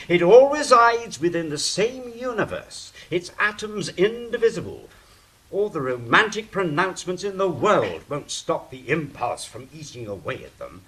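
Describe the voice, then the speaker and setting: A man's voice: high-pitched, fairly bright tone, heavily acted, low-quality recording. A man playing a scornful, commanding angel in an audio drama, declaiming sardonically over background hiss. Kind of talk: stage-style audio drama acting.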